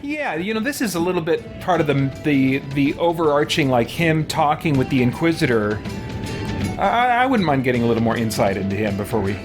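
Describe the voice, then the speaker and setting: A man's voice: a little high, big pitch swings, a little fast. A man giving casual, offhand gameplay commentary, thinking aloud in a rambling way as he plays. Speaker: video game let's play host. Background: game music.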